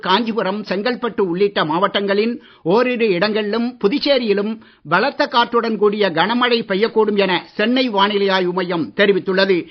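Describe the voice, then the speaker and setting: Man, newsreader, high voice, muffled radio sound, formal steady delivery. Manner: steady, measured bulletin delivery. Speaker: news reader. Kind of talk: formal radio news reading. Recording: muffled radio broadcast audio.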